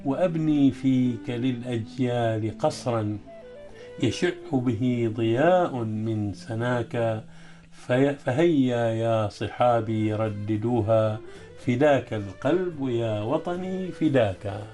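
A male poet interviewed as a guest, speaking hesitantly and then reciting his poems in a slow, chanting cadence. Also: medium pitch, mellow, faint music behind.

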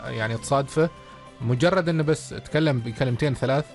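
Omani man radio co-host, fast easygoing chat, faint music bed. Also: medium pitch. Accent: Omani Arabic.

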